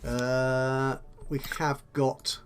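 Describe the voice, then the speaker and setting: A man with a slightly croaky voice, chatting and thinking aloud while commentating on a management game. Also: mid-range voice, level tone, drawn-out words, faint music behind. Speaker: let's play host. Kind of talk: casual gameplay commentary.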